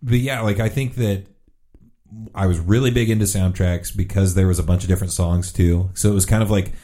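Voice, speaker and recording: Podcast co-host, man in his thirties, deep and dark-toned, loose and filler-heavy. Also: steady tone, brisk. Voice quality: very low voice. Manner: laid-back, rambling with many "like" fillers. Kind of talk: casual chat.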